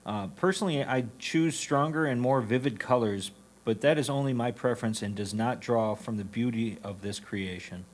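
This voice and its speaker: Mid-pitched man reading comments. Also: moderate pace. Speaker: reader of judges' critiques. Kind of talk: reading written photo critiques aloud.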